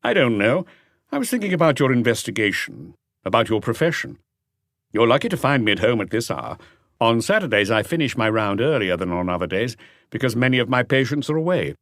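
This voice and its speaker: A man's voice: mid-range voice, sing-song, fairly quick, fairly bright tone, no room sound, clean studio sound. A man voicing an affable, familiar male doctor in an audiobook detective story. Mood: chatty.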